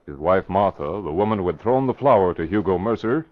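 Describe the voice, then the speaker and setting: An actor narrating an old radio mystery drama in a measured, solemn, dramatic way. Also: male voice, sits low, animated. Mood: grave. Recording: muffled vintage radio recording with music.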